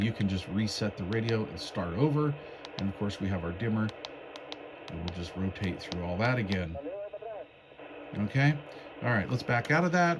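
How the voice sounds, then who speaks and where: A man with a fairly low voice walks through a product's settings step by step. His delivery is casual and folksy, like a hands-on review. There is some faint noise and clicking behind him. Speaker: radio reviewer demonstrating menu settings.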